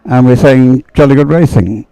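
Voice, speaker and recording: A man's voice: medium pitch, normal speed, creaky, warm tone, smooth, low-quality recording. A man working as a live sports co-commentator, talking conversationally in musing, broken phrases. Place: open-air commentary position.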